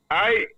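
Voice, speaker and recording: A man with a Trinidadian accent, calling in to a radio show and giving a measured, earnest opinion. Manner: measured, earnest, reasoning aloud. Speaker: caller. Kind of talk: call-in radio commentary. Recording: dull, dry phone line.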